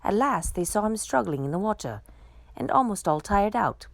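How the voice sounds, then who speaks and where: A woman reading a children's picture book aloud with expressive, dramatic storytelling. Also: medium pitch, normal speed, vocal fry, no echo.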